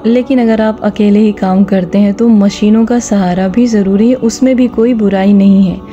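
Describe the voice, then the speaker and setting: A woman's voice: mid-range voice, steady tone, normal speed, mellow, lo-fi sound, soft background music. A woman narrating a vlog, giving instructive advice directly to her viewers. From India. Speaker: vlogger. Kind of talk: vlog voice-over with gentle religious advice.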